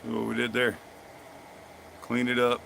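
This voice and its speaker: Folksy man chatting over clear workshop noise. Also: mid-range voice, completely flat delivery, unhurried, bright, forceful tone. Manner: folksy, joking, talks to viewers by name. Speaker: blacksmith hosting a live stream. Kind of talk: casual chat while demonstrating forge work. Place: workshop forge.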